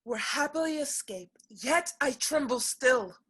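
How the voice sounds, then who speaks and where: A woman playing an anxious young Spanish gentlewoman in a period comedy, with hesitant, theatrical old-fashioned speech. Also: high-pitched, lively intonation, a little slow, slightly rough, exaggerated voice. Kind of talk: period stage comedy acting.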